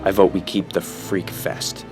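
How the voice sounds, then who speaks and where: A man's voice: low voice, little pitch movement, unhurried. An actor playing a crude, cocky, lecherous teenage boy with a smug manner in an audio drama. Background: music behind the voice.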